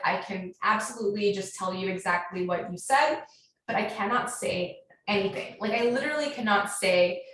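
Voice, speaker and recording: Casual American female guest speaker, animated and chatty, mid-pitched, very reverberant recording with faint noise. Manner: animated storytelling with frequent "like". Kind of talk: casual question-and-answer chat. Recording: very echoey, distant, faint noise.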